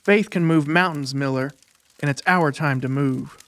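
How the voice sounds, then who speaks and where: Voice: medium pitch, moderate pace, dry recording, natural voice. An actor playing a devout, earnest teenage boy who preaches emphatically in a dramatized audiobook. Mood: earnest, preachy.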